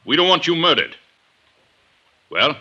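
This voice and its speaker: An actor playing a gruff, middle-aged, gray-haired police inspector in a 1950s radio drama, blunt and insistent. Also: male voice, fairly high pitch, very animated, measured pace, sharp tone, full character voice. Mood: stern, exasperated. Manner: blunt, insistent, clipped questioning. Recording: dull vintage 1950s radio recording.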